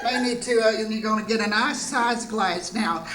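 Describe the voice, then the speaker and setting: A performer playing a folksy old grandma who rambles haltingly through a homespun cooking demonstration in a stage variety sketch. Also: mid-range voice, far from the mic, crowd noise, natural voice. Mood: rambling, folksy. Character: folksy old grandma pitching sponsor baking products. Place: live theatre stage. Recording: echoey noisy theatre stage recording.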